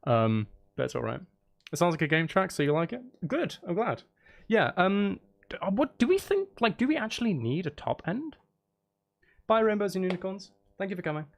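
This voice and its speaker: A man's voice: high-pitched, sing-song, moderate pace, gravelly. A man chatting casually on a live stream, rambling and thinking aloud in a hesitant way. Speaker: live streamer composing game music.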